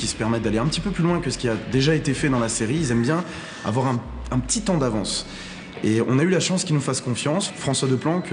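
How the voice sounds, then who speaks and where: A man presenting a behind-the-scenes look at a production. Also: medium pitch. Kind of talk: making-of presentation. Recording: clear background noise.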